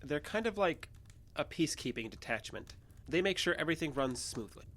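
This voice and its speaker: An actress playing a guarded, evasive wounded character who hides the truth with hesitant speech in an audio drama. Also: female voice, low voice, animated, fairly quick, a bit bright, slight background noise. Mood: guarded, earnest. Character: guarded, evasive wounded alien passing as human. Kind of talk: naturalistic audio drama acting.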